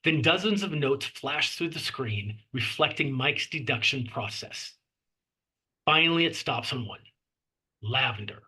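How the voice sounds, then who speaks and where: A man's voice: mid-range voice, expressive, unhurried, pushed and bright, slightly rough. A man reading out scene descriptions as the narrator of a screenplay table read. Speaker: narrator reading stage directions.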